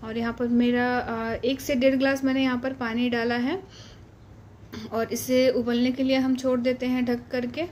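A woman hosting a cooking channel, calmly walking through a recipe step by step in a voice-over, often pausing with "uh". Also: on the high side, a little hiss or hum. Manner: patient, explanatory, frequent "uh" pauses.

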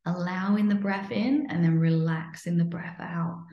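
A woman leading a guided breathing meditation in a soothing, instructional way, sometimes dropping to a breathy near-whisper. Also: fairly low pitch, normal speed. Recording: muffled, lo-fi, roomy echo.

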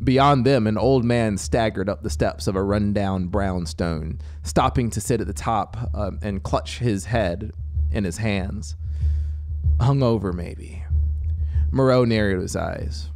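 A man in his thirties narrating fiction dramatically, with measured, deliberate phrasing and pauses, close on a studio microphone. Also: on the low side, darkish tone. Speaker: audiobook narrator. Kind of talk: dramatic scripted story narration. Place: small home music studio, indoors. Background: music soundscape.

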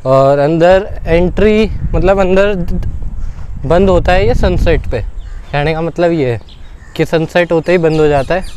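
A man's voice: a little high, darkish tone. A man chatting casually and colloquially to viewers as he vlogs outdoors. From India. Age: 20s. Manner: colloquial, informal, addressing viewers as brother. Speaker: travel vlogger. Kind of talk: casual solo vlog chat while walking. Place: outdoors at an open fort ruin. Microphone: clip-on lapel mic. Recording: clear outdoor background noise.

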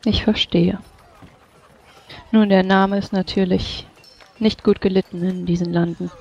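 A game master narrating and speaking in a formal, measured way as a stern official in a tabletop role-playing game. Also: medium pitch, little pitch movement, gravelly, a little mellow, dull audio. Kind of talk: tabletop role-play narration and in-character dialogue.

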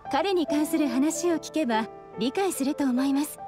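Woman voicing young kimono shop lady in anime game, high pitched, polite, matter-of-fact. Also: normal speed, music in the background, lightly acted.

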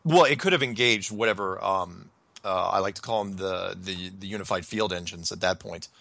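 Male talk host: casual, animated interjector, sharp creaky delivery, dry close sound. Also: sits low, normal speed, no room sound. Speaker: show host. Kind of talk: casual talk show chat.

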